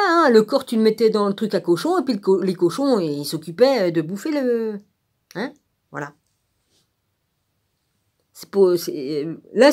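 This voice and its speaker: Female vlogger, medium pitch. Blunt, crude, sarcastic informal rant delivery. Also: darkish tone. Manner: blunt, irritated, crude colloquial speech; sarcastic, punctuates phrases with rhetorical tags.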